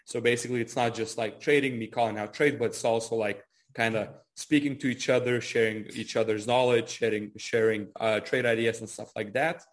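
A man's voice: medium pitch, steady tone, fairly quick. Casual man in his early twenties. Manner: repeats words, frequent "kinda" and "you know". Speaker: trader and trading educator being interviewed. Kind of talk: casual interview answers.

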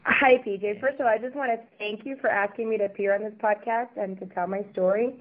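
A woman in her forties from Miami, a podcast guest talking in a chatty, warm way with frequent ums. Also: mid-range voice, steady tone, quick pace. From Miami, Florida. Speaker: interview guest. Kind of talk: podcast interview. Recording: tinny phone line.

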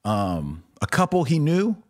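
An American man hosting a religious commentary podcast, speaking emphatically with deliberate pauses for stress. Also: fairly high pitch, wildly swooping pitch, a little mellow. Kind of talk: opinionated commentary to camera.

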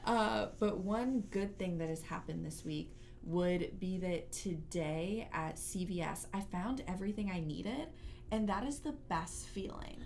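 A woman's voice: on the low side, a little slow, gravelly, darkish tone, a little hiss or hum. A young adult woman hosting a student interview podcast, asking questions in a friendly, joking way. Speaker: podcast host. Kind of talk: casual interview.

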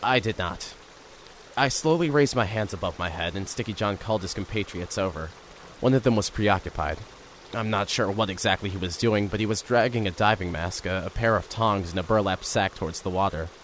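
A man's voice: fairly low pitch, brisk, a bit bright, slight background noise. A man narrating an audio drama in the first person and playing a nervous reporter, with an earnest, wry delivery.

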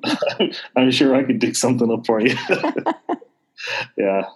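A man's voice: medium pitch, huge pitch swings, takes it slowly, breathy edge. A man with a mild Irish accent, chatting as a podcast guest, hesitant with many fillers and restarts. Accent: mild Irish (Dublin). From Ireland. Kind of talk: casual interview chat.

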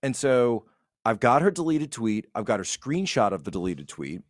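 A man's voice: mid-range voice, very animated, normal speed, no echo, studio quality. A man giving casual political commentary as a podcast guest, emphatic and repeating phrases to make his point.

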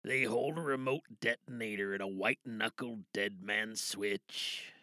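A man voicing a weary, cynical fixer in a dystopian audio drama monologue, brooding and deadpan with a gravelly voice. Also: on the low side, unhurried, no echo, voice pushed down.

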